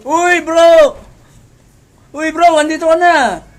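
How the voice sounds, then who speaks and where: A woman's voice: very high-pitched, fairly even intonation, slow. A woman playing an easygoing, slightly puzzled young male buddy in an amateur radio drama, casual and slangy.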